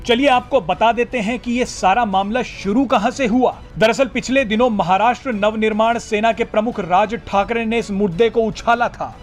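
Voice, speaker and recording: A man reading a scripted news report as a narrator. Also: high-pitched.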